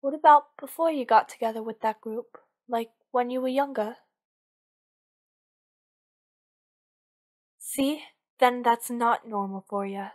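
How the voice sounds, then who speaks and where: A woman acts out a female villain in love, anxious, clingy and possessive. Her voice sits a little high. She talks one-on-one to her partner as in an ASMR audio drama, earnest and intimate, pleading and insisting with devotion. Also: moderate pace, close to an everyday voice. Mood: worried, coaxing. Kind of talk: ASMR roleplay acting, one-sided dialogue.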